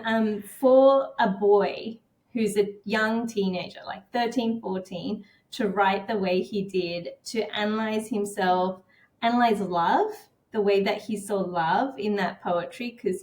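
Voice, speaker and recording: A woman's voice: medium pitch, fairly even intonation, unhurried, darkish tone. A woman guest on a podcast, casually telling personal stories in a conversational way. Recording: roomy, echoing sound.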